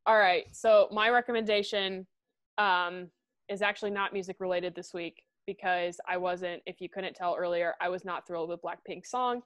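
A woman's voice: lowish voice, normal speed, no room sound. Chatty young woman, dry sound. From American Midwest. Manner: chatty, excitable, swears casually. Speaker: podcast co-host. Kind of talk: casual chat.

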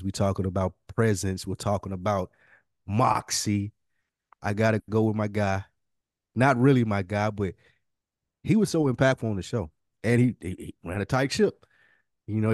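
A man's voice: fairly low pitch, darkish tone, no room sound, studio quality. A man co-hosting a podcast in casual banter, talking in a slangy, profane way. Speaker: podcast co-host. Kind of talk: casual fantasy-draft banter.

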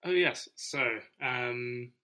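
A man from the UK co-hosting an education podcast, explaining his points in a measured, thoughtful way. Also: medium pitch, sharp tone. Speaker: podcast co-host, teacher. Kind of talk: casual conversation.

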